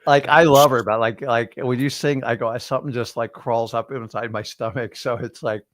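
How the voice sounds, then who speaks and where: A middle-aged man telling loose, rambling stories in casual conversation, his voice sitting at an ordinary male pitch. The sound is dry and close, with no room echo. He leans on fillers and restarts as he talks. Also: moderate pace. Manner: rambling, animated storyteller with many fillers. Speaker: host. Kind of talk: casual chat. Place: studio livestream.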